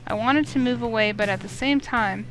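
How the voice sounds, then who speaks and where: A woman reading a visual novel aloud as an expressive, dramatic narrator. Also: a little high, moderate pace, poor audio. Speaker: let's play streamer. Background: music in the background.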